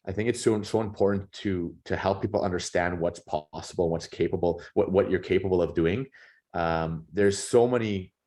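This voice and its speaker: A man in his thirties answering interview questions in a relaxed, reflective way, often repeating words as he gathers his thoughts. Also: sits low, normal speed. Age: 30s. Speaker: podcast guest.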